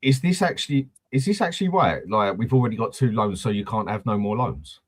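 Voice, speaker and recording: A man's voice: lowish voice, lively intonation, a little fast, slightly rough. A man hosting a casual football fan chat, blunt and swearing freely. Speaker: host of a football fan channel.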